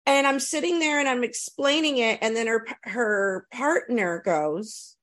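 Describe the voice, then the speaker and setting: A woman telling stories in a casual chat, frank and profane, animated, with many restarts and asides. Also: a little high, measured pace. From California.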